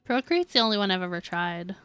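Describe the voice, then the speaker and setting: A woman's voice: mid-range voice, sing-song, fast talker, fairly bright tone. A woman livestreaming a video game, chatting casually and reacting as she goes. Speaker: game streamer. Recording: game music behind the voice.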